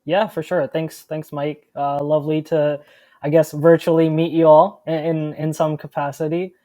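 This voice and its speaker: A man's voice: on the high side, little pitch movement, moderate pace, warm tone, no echo, clean studio sound. A young man from India talking casually as a startup founder guest, chatty with many fillers and restarts. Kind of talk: casual interview.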